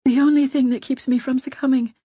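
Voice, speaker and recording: A woman's voice: a little high, normal speed, dark tone, slightly rough, dry recording, studio quality, pitched above the performer's own voice. A woman reading an audiobook, voicing a frightened noblewoman who pleads in trembling whispers. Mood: desperate. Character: frightened, desperate noblewoman, grief-stricken and pleading.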